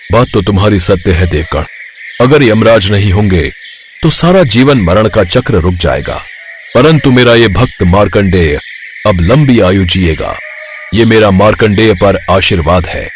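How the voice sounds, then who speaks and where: A man's voice: lowish voice, animated, moderate pace, slight background noise, natural voice. A man voicing a mighty, solemn god in a devotional story, with a grand, authoritative manner. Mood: solemn, benevolent. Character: mighty, solemn male god granting boons.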